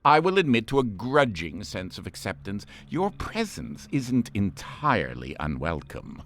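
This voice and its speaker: An actor playing a pompous, condescending shipboard artificial intelligence in a game, theatrical and sarcastic. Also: male voice, fairly low pitch, huge pitch swings, a little slow, fairly bright tone, exaggerated voice. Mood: haughty. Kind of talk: scripted game voice pack acting.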